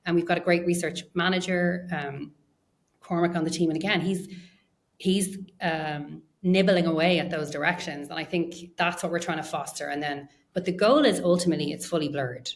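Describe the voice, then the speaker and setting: Low-pitched woman explaining in an interview. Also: fairly even intonation, normal speed. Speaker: interview guest, research and data science executive.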